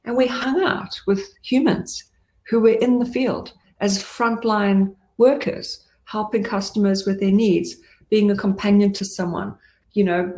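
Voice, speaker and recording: A middle-aged woman from Zambia gives a conference talk as a tech CEO, candid and emphatic, dropping in plenty of ums and asides. Her voice carries a gravelly, creaky edge. The sound is roomy and echoey. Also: mid-range voice, a little mellow.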